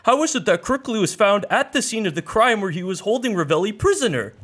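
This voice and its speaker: A stern, formal judge, played by a young man with a fairly high voice that rises and falls a lot. He hands down his rulings with growing exasperation in a comic radio play. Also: lively intonation, fast, a bit bright. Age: young adult.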